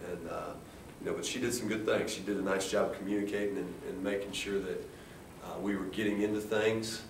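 American male coach, low register, measured and halting post-game remarks, echoey room with faint noise. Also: fairly even intonation. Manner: halting, measured, with repeated restarts. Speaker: head basketball coach. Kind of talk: post-game press conference answers. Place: indoor press room at a table. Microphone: distant camera. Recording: roomy, distant mic with faint rumbling noise.

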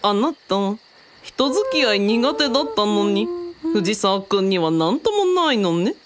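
A woman's voice: mid-range voice, sing-song, unhurried, background noise. A woman playing a self-assured, worldly female mentor who talks in a teasing, feminine way in a radio drama.

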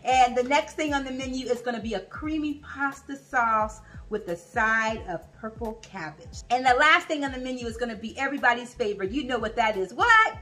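A woman's voice: a little high, expressive, a little fast, few pauses, sharp tone, soft background music. A woman in her thirties hosting a casual home cooking video, chatty and upbeat, talking straight to viewers in her kitchen. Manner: upbeat, chatty, talks to viewers as "you guys". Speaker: cooking vlogger, host. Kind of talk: casual cooking demo chat.

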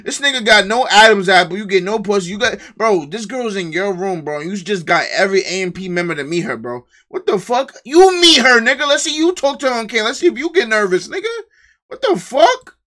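A young male streamer with a high, bright voice swoops up and down as he teases friends and shouts to his chat. He is loud and crude, and he jokes constantly. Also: animated, a little fast. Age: young adult. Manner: loud, teasing, swears a lot, talks to chat. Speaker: livestreamer. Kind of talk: casual livestream banter.

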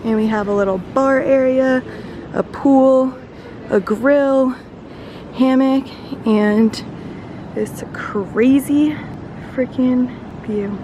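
A woman's voice: high-pitched, very slow, long pauses, background noise. A young woman giving an enthusiastic, chatty tour of a holiday villa, filming herself on a phone held at arm's length and filling her talk with "like.". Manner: enthusiastic, chatty, frequent "like" fillers. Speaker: travel vlogger. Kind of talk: casual house tour vlog. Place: holiday villa, indoors and on open terraces.

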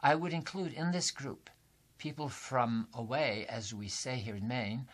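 A man in his seventies from New England presents a scripted writing lesson as a coach, in deliberate, formal phrasing. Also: mid-range voice. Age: 70s. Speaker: writing coach and teacher, host. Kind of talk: scripted instructional masterclass presentation.

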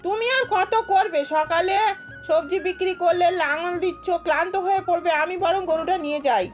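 A woman's voice: very high voice, fairly quick. A woman voicing a fretful, chiding village farm wife and mother in an amateur cartoon dub. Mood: bossy, homely. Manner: homely, chiding, fussy. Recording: music under the voice.

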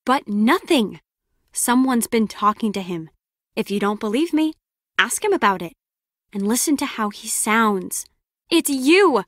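A woman's voice: on the high side, expressive, measured pace, fairly bright tone, no room sound, studio quality. An actress playing a brusque, sarcastic lone-wolf female spy in a video game, with a curt, biting delivery. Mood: firm, guarded. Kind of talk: scripted game character dialogue.

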